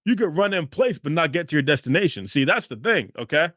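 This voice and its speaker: A man's voice: a little high, big pitch swings, quick pace, fairly bright tone, no room sound, studio quality. A man hosting a motivational podcast, talking emphatically, repeating phrases and checking in with "okay?". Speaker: podcast host.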